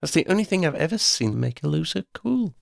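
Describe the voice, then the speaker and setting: A man's voice: fairly high pitch, sing-song, fairly quick, gravelly, no room sound, clean studio sound, pitched above the performer's own voice, full character voice. A man voicing a friendly, playful young woman in a storybook.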